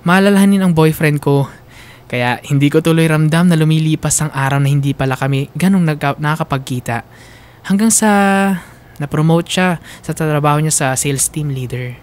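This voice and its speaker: A woman's voice: low-pitched, a little fast, darkish tone, close to an everyday voice. A woman acting as a young Filipina telling her love story in a radio drama. She narrates in the first person, sentimental and confiding, with fond attachment in the delivery. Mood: fond, wistful.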